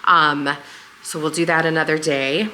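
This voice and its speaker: A woman vlogger with a low voice and a slight hoarseness talks through her plans in a loose, rambling way. She fills pauses with ums and likes, relaxed at home in her living room. A faint background hum sits behind her. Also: rough recording. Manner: rambling and friendly, with many fillers. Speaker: home vlogger and channel host. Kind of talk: casual chatty home vlog.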